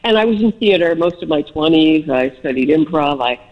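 A woman's voice: sits low, lively intonation, a little fast, highs cut off. An older woman from the Bronx with a Bronx accent, chatting as a radio interview guest and telling stories with self-deprecating jokes. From the Bronx, New York.

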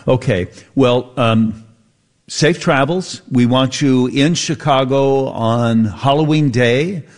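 A man's voice: mid-range voice, slow. A man hosting a radio talk show, easygoing and laughing often as he chats and plugs events.

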